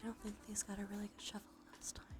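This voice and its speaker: A woman livestream host speaking in a soft, breathy, often whispered voice, murmuring casually and unhurriedly to viewers in an ASMR tarot style. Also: medium pitch, monotone, rapid-fire, over loud music. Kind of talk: ASMR tarot reading stream.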